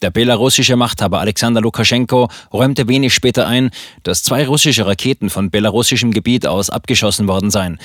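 A man reading scripted news articles for a podcast in a formal, measured manner. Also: lowish voice, no echo, studio quality.

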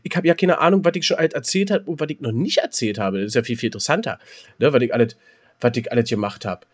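A man with a mid-pitched voice talks quickly as a podcast co-host. He rambles through personal anecdotes in loose, colloquial chat. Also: expressive.

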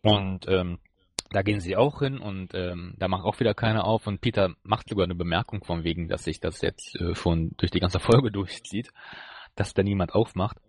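A man co-hosting a podcast, casually reviewing an episode in a halting way as he searches for words. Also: deep voice, darkish tone, no echo. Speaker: podcast co-host.

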